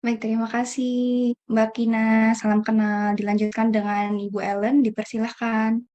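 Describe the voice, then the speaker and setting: Soft-toned young woman moderating politely. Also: on the high side, level tone, moderate pace, muffled recording. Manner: courteous, calls on each participant in turn. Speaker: discussion moderator.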